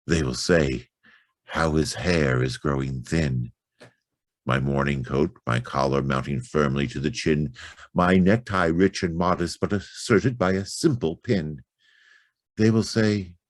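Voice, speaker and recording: A man reciting poetry in a theatrical, deliberate manner with long pauses between phrases. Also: deep voice, no room sound, clean studio sound. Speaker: poetry reader.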